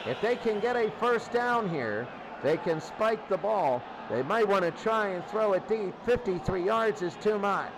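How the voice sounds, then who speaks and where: A high-voiced man gives live football play-by-play. He speaks in short, clipped phrases as the action moves. The recording is a noisy, low-fi broadcast. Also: expressive, a little slow. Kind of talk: live sports commentary.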